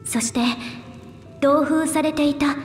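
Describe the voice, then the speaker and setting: A small young scholar in a fantasy game. The voice is high and polite, formal yet firmly determined. It echoes in a big hall with soft music behind. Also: female voice, steady tone, slow. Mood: earnest, solemn. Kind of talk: video game voice acting.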